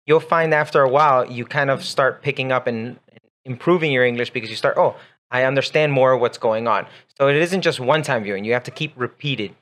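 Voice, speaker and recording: A man's voice: mid-range voice, brisk, fairly bright tone. A man whose first language is Spanish co-hosting a casual chat about learning English, easygoing, reading out listener questions. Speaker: co-host, English teacher.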